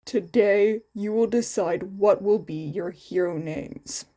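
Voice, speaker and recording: A woman voicing a tired, gruff man, a teacher in an anime story, in a deadpan, bored, terse manner. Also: medium pitch, slow, pitched below the performer's own voice.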